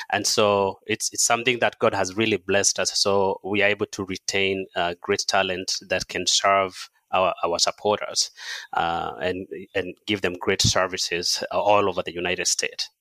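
Bright-voiced Kenyan man, fairly low. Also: dry recording. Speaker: podcast guest, charity staff member. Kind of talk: conversational interview, personal storytelling.

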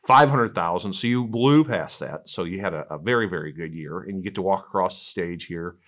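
A man's voice: low voice, fairly quick, fairly bright tone. A male podcast host who reads a scripted introduction and then interviews a guest in an upbeat, encouraging way.

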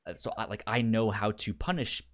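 A man with a fairly low voice chats casually as a podcast co-host. He stutters often, catching and repeating the first sounds of words as he works through his thoughts. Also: brisk, fairly bright tone. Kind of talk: casual discussion of a TV show.

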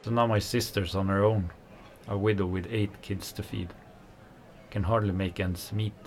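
An actor playing an angry working-class kitchen worker, a fiery socialist who talks in heated, scornful bursts. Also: male voice, on the low side, fairly even intonation, moderate pace, natural voice. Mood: bitter. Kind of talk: radio play acting.

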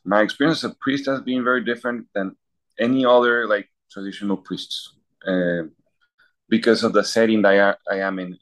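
A man's voice: on the low side, rough recording. A man from Peru, a university chaplain priest answering interview questions in a thoughtful, example-filled way. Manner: thoughtful, listing examples, clears throat.

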